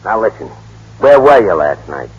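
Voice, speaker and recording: A very raspy, impatient press man, with lively, swooping delivery. He is blunt and bossy in classic radio style, heard in a muffled, echoing hall. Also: on the low side, normal speed, exaggerated voice. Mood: impatient, probing. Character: brusque, exasperated fast-talking press minder. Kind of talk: 1930s-40s radio acting. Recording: muffled old radio broadcast, cavernous reverb.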